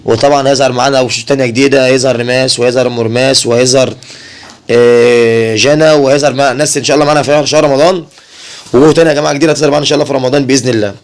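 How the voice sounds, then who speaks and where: A man's voice: mid-range voice, steady tone, rapid-fire. A man with an Egyptian accent chatting casually to viewers as a family vlogger, often repeating himself and addressing them directly.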